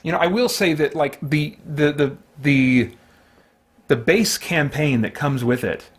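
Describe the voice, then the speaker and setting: A man's voice: on the high side, unhurried. Casual man thinking aloud over a game. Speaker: host playing a solo tabletop game. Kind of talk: casual game play-through commentary.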